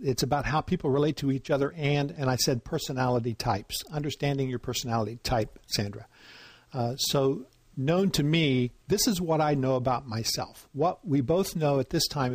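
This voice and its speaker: A man's voice: mid-range voice, no echo. Chatty man explaining a model. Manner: conversational, frequent fillers, checks in with "right?". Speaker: instructor. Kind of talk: informal lecture.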